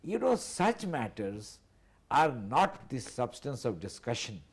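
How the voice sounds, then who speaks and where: A man, speaking as a guest analyst on a TV panel in a measured, hesitant way with many fillers. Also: mid-range voice, big pitch swings, slightly rough. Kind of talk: TV panel discussion.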